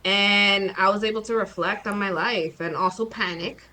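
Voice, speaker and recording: A woman in her thirties, a guest author chatting casually in an interview, talking fast with lots of fillers and acted-out quotes. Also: medium pitch, fairly even intonation, bright, forceful tone, slight background noise. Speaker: guest, children's book author.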